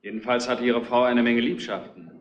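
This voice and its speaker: An actor playing a stern, methodical police investigator in a retro crime drama, matter-of-fact and firm, in an echoey, slightly noisy old recording. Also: male voice, medium pitch, fairly even intonation, normal speed, muffled recording, lightly acted. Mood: stern, factual.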